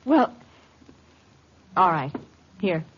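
A woman's voice: fairly low pitch, big pitch swings, very slow, slightly rough, full character voice. An actress playing a defensive, nervous young woman under questioning in an old radio drama. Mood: hesitant. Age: young adult. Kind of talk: 1940s-50s radio drama acting. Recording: muffled, noisy old radio recording.

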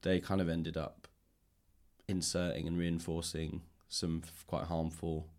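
A man's voice: sits low, little pitch movement, measured pace, darkish tone. A man interviewing in a casual, thoughtful, roundabout way as a podcast host. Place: library room.

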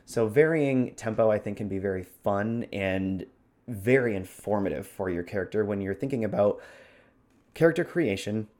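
A man's voice: fairly low pitch, expressive, moderate pace, a little mellow. A man talking casually as a podcast host in a discussion of performance technique.

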